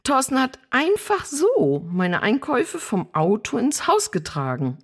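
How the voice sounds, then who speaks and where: A woman's voice: a little high, huge pitch swings, a little slow, breathy edge, heavily acted. A woman playing a friendly, chatty neighbour in a children's audio drama. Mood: cheerful, defensive.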